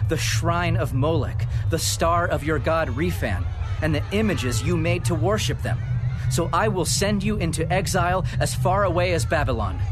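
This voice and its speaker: A man's voice: fairly high pitch, noisy background, close to an everyday voice. An actor playing Stephen, a bold young male preacher who rebukes his hearers with rising passion, in a dramatic Bible reading. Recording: studio voice over music score.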